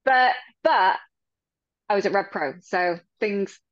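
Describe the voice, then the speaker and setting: A woman who works as a ring announcer, chatting in an interview, bubbly and quick to laugh, with a loud voice. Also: mid-range voice, no room sound, lo-fi sound. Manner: bubbly, laughs often, animated retelling. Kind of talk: casual interview chat.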